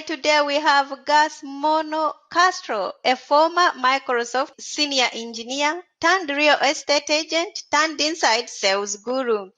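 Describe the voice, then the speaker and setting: Lady podcast host, high bright voice, reads her intro kinda stiff. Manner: reads from a script, formal. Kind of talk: scripted podcast intro and interview.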